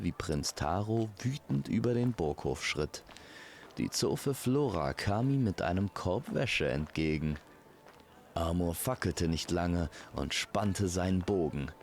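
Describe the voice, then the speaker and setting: A man narrating a scripted fairy-tale audio drama as its storyteller. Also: deep voice.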